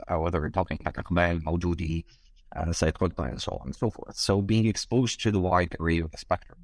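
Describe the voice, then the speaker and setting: A man's voice: low-pitched, no echo. A middle-aged man from Egypt, a scholar being interviewed, speaking in wordy, hesitant academic phrasing.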